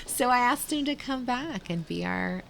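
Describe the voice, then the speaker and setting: A woman, founder of a youth culinary program, telling stories in a casual interview and quoting herself in lively asides. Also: fairly high pitch, normal speed, vocal fry, heavy background noise.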